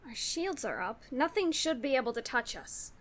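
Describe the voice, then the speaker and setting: Woman playing a sharp, high-voiced, bossy space captain. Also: sing-song, normal speed, heavily acted. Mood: tense. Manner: curt, sarcastic, foul-mouthed. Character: short-tempered, bossy woman starship captain of small stature. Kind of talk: science fiction audio drama acting.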